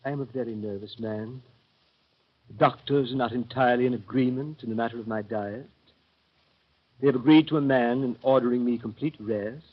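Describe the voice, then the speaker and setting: A man playing a nervous fussy gentleman. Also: medium pitch, close to an everyday voice. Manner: prim, throat-clearing, precise. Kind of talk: 1930s radio drama narration. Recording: dull old radio broadcast.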